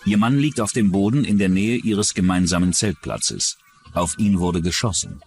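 Very deep-voiced male documentary narrator. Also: expressive, normal speed, vocal fry, a little mellow. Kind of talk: scripted true-crime narration.

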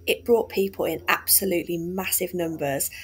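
A woman's voice: fairly low pitch, steady tone, normal speed, poor audio. A woman from England narrating a walking tour in a friendly, chatty, enthusiastic way. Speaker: vlogger and tour guide.

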